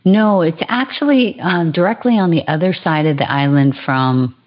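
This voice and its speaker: Low-voiced woman telling life stories. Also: normal speed, highs cut off. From Hawaii. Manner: warm storytelling, laughs easily. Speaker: guest. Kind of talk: podcast interview.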